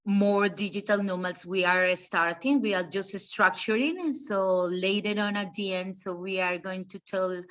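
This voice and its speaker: A Colombian woman hosts an informal online presentation in accented English as a native Spanish speaker. Her pitch is average and her phrasing loose and hesitant. The audio is dull and lo-fi. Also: steady tone, a little slow, hardly any pauses, fairly bright tone. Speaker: association founder, event host.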